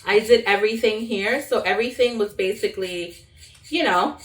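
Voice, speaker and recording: A woman's voice: medium pitch, normal speed, far from the mic, rough recording. A New York woman, born in Jamaica, talks her way through cooking in her home kitchen. She chats loosely, wanders off the point and laughs easily. Her voice sits a little back from the mic, with a faucet running now and then. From born in Jamaica, raised in Brooklyn, New York. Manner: rambling and chatty, laughs often, talks while chopping. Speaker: cooking vlogger. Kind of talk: casual chat while cooking.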